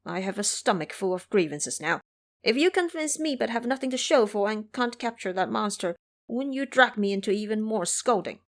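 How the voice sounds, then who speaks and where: A woman's voice: medium pitch, animated, moderate pace, lightly acted. A woman voicing a put-upon young male household servant, complaining and flustered, in an audio drama. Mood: aggrieved.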